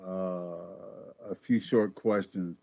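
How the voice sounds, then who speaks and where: A man's voice: low voice, fairly even intonation, extremely slow, vocal fry, dark tone, dry recording. A man giving an informal health talk as guest presenter, folksy and explanatory, often repeating words as he thinks.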